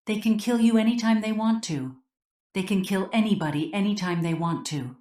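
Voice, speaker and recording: A woman's voice: medium pitch, moderate pace, dark tone, natural voice. A woman playing a calculating female demon defector in an audiobook drama, speaking formally and measuredly in a roomy, echoing sound. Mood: urgent pleading.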